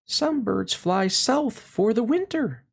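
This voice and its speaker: A man's voice: high-pitched, expressive, slow, vocal fry, husky, pitched above the performer's own voice, heavily acted. A man reading the lines of a hopeful mom in a picture book, in a raised, sing-song way.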